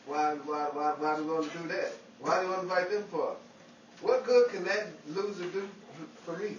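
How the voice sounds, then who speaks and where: Man playing a high-pitched brash old-radio Hollywood guy. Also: normal speed, close to an everyday voice. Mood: brash, dismissive. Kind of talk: old-time radio style acting, with fluffed lines. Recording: roomy, distant, noisy, dull home recording.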